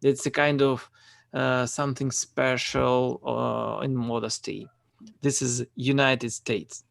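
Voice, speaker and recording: Slow, halting male online host. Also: mid-range voice, little pitch movement, creaky, no room sound, clean studio sound. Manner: halting, hesitant phrasing. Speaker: conference host and moderator. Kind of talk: moderating an online panel. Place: online video call.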